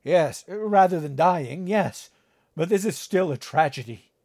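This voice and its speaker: A man's voice: fairly high pitch, expressive, measured pace, a little mellow, pitched above the performer's own voice. A male narrator voicing a father who is overwrought and tearful. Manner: tearful, hysterical.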